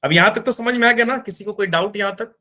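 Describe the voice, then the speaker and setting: A man's voice: high voice, fast talker, pushed and bright. A man teaching physics in an online class, explaining step by step and often checking that his students understand.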